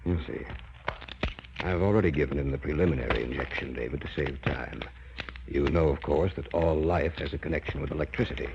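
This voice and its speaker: An actor playing an obsessed older scientist in 1950s radio drama style, persuasive, theatrical and deliberate. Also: male voice, very deep voice, gravelly. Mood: confident, intent. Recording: muffled, noisy old 1950s radio recording.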